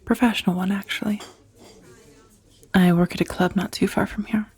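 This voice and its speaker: A woman playing a professional dominatrix on a blind date in a soft-spoken ASMR roleplay, breathy and often near a whisper, confiding and teasing. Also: mid-range voice, steady tone, moderate pace. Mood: sheepish, hesitant. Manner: hesitant, confiding, teasing, with sighs. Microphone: close, dry ASMR microphone.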